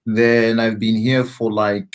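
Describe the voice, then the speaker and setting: Relaxed low-voiced man chatting. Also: takes it slowly, clean tone. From Ghana. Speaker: Twi teacher and host. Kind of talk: casual live-stream chat.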